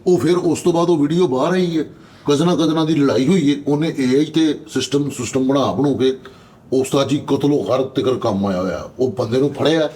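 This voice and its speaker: A man's voice: mid-range voice, brisk, mellow. A man with a Pakistani Punjabi accent telling anecdotes in casual chat on a comedy show.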